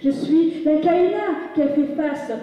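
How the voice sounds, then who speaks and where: Woman, high voice, declamatory reading, distant mic, big echoey hall. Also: dark tone. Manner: declamatory, measured. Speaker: reader at a musical reading. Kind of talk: dramatic reading of texts. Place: large hall with long reverb. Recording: echoey hall recorded from far off, dull sound.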